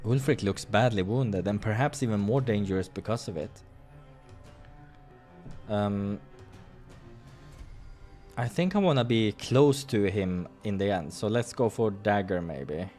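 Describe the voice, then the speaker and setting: A man reading a visual novel aloud as a playthrough host, expressive in the storytelling with casual asides. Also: fairly low pitch, a little slow, pauses often, faint music behind.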